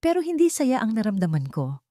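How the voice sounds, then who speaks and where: An elderly widowed father acted out by a woman in a radio-style story, dignified but wounded. The speech is solemn and emotional, with highly animated pitch in a dry, close recording. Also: a little fast, dry recording. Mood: pained, earnest. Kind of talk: dramatic audio-story dialogue.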